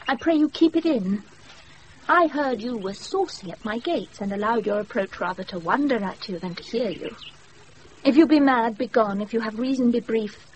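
A woman's voice: fairly high pitch, lo-fi sound, lightly acted. A British actress playing a proud, wry young noblewoman in a Shakespeare radio play, speaking imperiously, recorded off a wireless with some noise. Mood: impatient, haughty. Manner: imperious, dry, measured.

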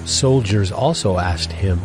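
A man reading scripture as the narrator of an audio drama, in a measured, solemn way. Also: low-pitched, a little mellow, faint music behind.

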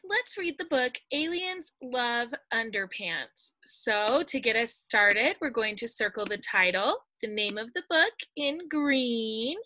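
A middle-aged woman reading a picture book aloud to young children like a teacher, expressive and sing-song, drawing out the ends of lines. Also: fairly high pitch, no room sound. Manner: expressive, sing-song storytelling with drawn-out line endings. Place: indoors at a computer, screen-share video.

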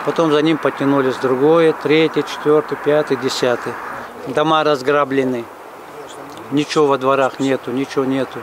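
A man's voice: medium pitch, steady tone, moderate pace. A middle-aged man in a mountain village talking plainly to an interviewer, going back over memories and repeating himself as he tells them. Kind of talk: casual outdoor interview. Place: outdoors in a mountain village by a road. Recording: outdoor noise, passing traffic.

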